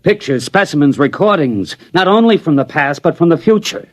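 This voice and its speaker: A man's voice: fairly high pitch, expressive, moderate pace. An actor playing an eager, persuasive scientist friend who pleads his case, in a 1950s radio drama. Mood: pleading. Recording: old radio broadcast.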